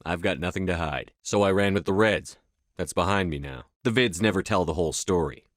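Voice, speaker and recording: Guy doing a deep stern soldier hero from a sci-fi game, firm and clipped, super dry recording. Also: a little fast, dry recording, close to an everyday voice. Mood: curt, guarded. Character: stern, commanding male military officer and space agent. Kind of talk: video game voice acting, dramatic dialogue lines.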